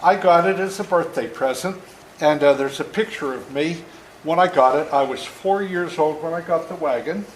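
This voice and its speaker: A man in his seventies with a slightly high-pitched voice tells stories to children. He is plain-spoken and deliberate, like an author reading aloud to a young group. The room is echoey, with faint noise behind him. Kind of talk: storytelling talk and read-aloud to children.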